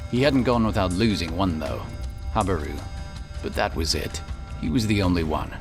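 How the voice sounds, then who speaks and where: A man's voice: deep voice, expressive, moderate pace, music in the background. A man reading scripted narration for a fantasy audio drama.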